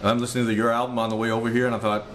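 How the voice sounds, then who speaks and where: A man interviewing a rock singer backstage, eager, asking leading questions and backing him with steady "mm-hmm"s. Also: medium pitch, rapid-fire, slight background noise. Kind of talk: music interview. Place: backstage at a concert venue.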